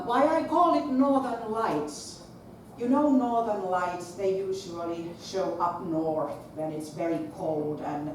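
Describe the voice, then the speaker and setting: A middle-aged woman with a Finnish accent addresses a lecture hall with no microphone, projecting in a chatty, animated way. Her voice sits a little low for a woman. The recording is distant, with a strong echo and clear background noise. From Finland. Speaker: conference speaker, school principal. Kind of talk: presentation to an audience. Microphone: far camera. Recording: echoey lecture hall from far off, noisy.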